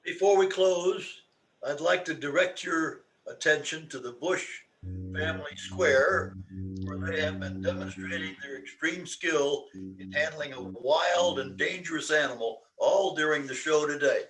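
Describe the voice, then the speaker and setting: Slightly high-voiced, mock-formal man. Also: animated, unhurried, fairly bright tone, low-quality recording. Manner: playful mock-solemn. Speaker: club member in a meeting. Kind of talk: mock-formal joking commentary. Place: online video meeting. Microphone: video call.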